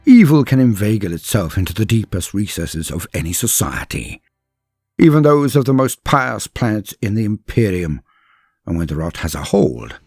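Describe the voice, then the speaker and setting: A man with a husky voice narrating scripted fantasy lore in a theatrical, portentous manner with deliberate pauses. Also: lowish voice, darkish tone. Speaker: narrator and host of a lore channel. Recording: music playing behind the voice. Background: background music.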